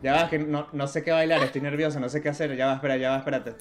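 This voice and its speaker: A man's voice: a little high, fast, music in the background. Playful Venezuelan man streaming a game. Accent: Venezuelan Spanish. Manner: playful, joking, lively and teasing. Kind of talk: casual gaming stream chat. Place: small home room indoors. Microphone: headset.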